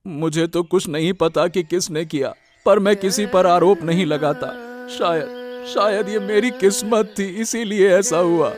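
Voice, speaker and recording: A man plays a naive young village vegetable seller in a cartoon. His high voice is humble and hesitant, with a dejected temper. Faint music plays behind. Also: a little slow. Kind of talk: Hindi moral-story cartoon dubbing.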